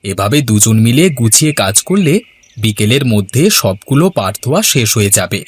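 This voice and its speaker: A man's voice: fairly low pitch, animated, a little fast, a little hiss or hum, lightly acted. A man playing a patient, hardworking village farmer, husband and father in a folk-tale cartoon dub. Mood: practical, coaxing. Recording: music behind the voice.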